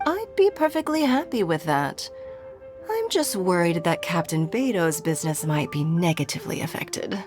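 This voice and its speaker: Music plays beneath a lively, swooping voice. a poised game official, silky and articulate, who teases with every carefully pointed phrase. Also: female voice, on the low side, moderate pace. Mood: coy, playful. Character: poised, teasing, silver-tongued adult female official. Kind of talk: video game voice acting. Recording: music playing under the dialogue.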